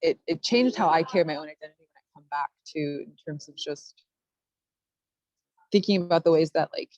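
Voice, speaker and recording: Low-voiced woman on a video call. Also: fairly quick, darkish tone, no echo, highs cut off. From United States. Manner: thoughtful, many "like" fillers and restarts. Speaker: study abroad alumna panelist. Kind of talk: reflective panel answer.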